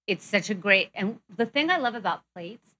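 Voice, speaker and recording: A woman's voice: medium pitch, animated, moderate pace, bright, forceful tone. A woman guest on a podcast, a brand co-founder, who rambles and trails off with fillers. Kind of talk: casual podcast chat.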